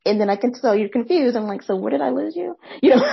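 A young adult woman hosting a podcast, speaking in a soft, soothing voice, reflective and quick, sometimes restarting her phrases. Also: high-pitched, gravelly, breathy edge, dull audio. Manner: fast, excited talker who pauses to rephrase. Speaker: podcast host. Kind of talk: reflective interview commentary.

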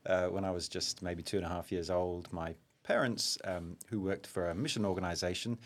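A middle-aged man with a slight London accent and a very deep voice. He talks as a guest in an unhurried interview, telling long anecdotes with easy self-deprecating humour and plenty of ums. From Zaire and England. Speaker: guest, vascular surgeon. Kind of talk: relaxed conversational storytelling.

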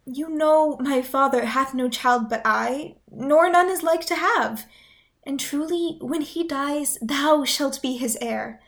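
A loving, playful young noblewoman, acted by a woman in a Shakespearean radio drama. Her voice sits very high, and she speaks articulately, with a tender, coaxing manner. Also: slow pace, gravelly, a bit bright, exaggerated voice. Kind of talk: Shakespearean radio play acting.

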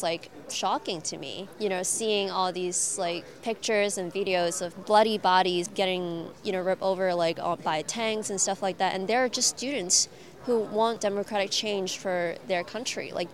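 A young woman from Hong Kong, a guest in an interview, talking earnestly and casually with many fillers. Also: medium pitch. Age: young adult. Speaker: guest, activist.

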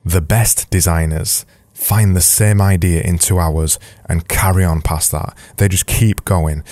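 A man's voice: low-pitched, warm tone, no room sound. A man with a Yorkshire accent giving informal solo advice as a podcast host, emphatic and repeating his key points. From Yorkshire, England.

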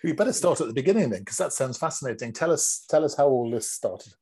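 A man's voice: medium pitch, animated, fast, warm tone, breathy edge. A man, speaking as the host of an interview podcast. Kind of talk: scripted podcast introduction and interview.

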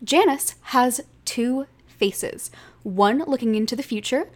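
A woman's voice: fairly high pitch, slow pace. A woman presenting a short scripted podcast segment.

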